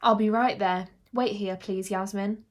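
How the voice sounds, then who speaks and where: A woman's voice: mid-range voice, fairly even intonation, measured pace, close to an everyday voice. A stern woman head teacher acted by a female narrator, tired of it all. She speaks with snappish authority and a weary edge, storybook style. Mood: exasperated. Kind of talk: children's audiobook character voice.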